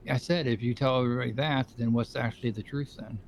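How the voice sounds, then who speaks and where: A man playing a puzzled, insistent questioner in improvised online game roleplay, halting and repeating his questions. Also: medium pitch, fairly even intonation, slight background noise, close to an everyday voice.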